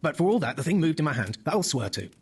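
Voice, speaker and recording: A man voicing a stubborn, slow-witted old father with a husky voice in a dramatic horror reading. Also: fairly high pitch, sing-song, voice pushed up, exaggerated voice. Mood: insistent. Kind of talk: dramatic horror audiobook acting.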